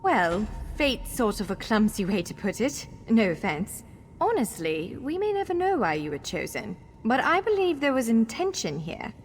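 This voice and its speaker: A woman's voice: a little high, big pitch swings, normal speed. A woman playing an earnest, encouraging female elf scholar who guides a hero in a fantasy video game. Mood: friendly. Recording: long hall reverb, faint music.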